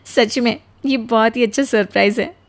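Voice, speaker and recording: A woman voicing a sweet, dutiful and grateful young daughter-in-law in an animated story, with an earnest, expressive delivery. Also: a little high, moderate pace, close to an everyday voice. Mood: happy, grateful. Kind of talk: animated Hindi moral story voice acting.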